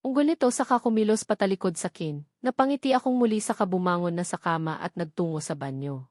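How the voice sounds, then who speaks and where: A woman's voice: on the low side, fast, darkish tone, no room sound, studio quality. A woman with a Filipino accent reading a scripted first-person romance story as an audiobook narrator.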